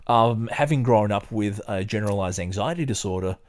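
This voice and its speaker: Low-pitched man, podcast host. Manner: hesitant, halting, digressive when talking. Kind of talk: casual chat and reading aloud.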